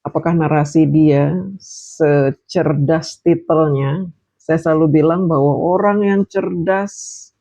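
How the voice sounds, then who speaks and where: A man's voice: a little high, vocal fry, dark tone, no echo. Scornful man, dull dry voice-over. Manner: scornful, mocking. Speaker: political commentator. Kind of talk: commentary over clips.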